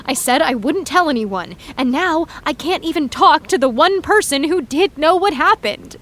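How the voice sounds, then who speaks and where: A woman voicing an anxious, guilt-ridden teenage girl in an audio drama, talking in a lively, emotional way. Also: high-pitched. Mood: fretful. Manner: lively, emotional, quick to protest.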